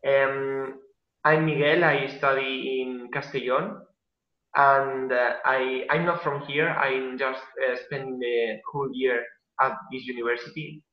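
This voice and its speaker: A hesitant eighteen-year-old man speaks slowly, searching for words and filling gaps with ums and uhs. His voice comes from an echoey room with dull, lo-fi sound. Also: medium pitch, steady tone. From Spain. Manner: hesitant, many fillers. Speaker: language exchange student. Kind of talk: casual video call chat.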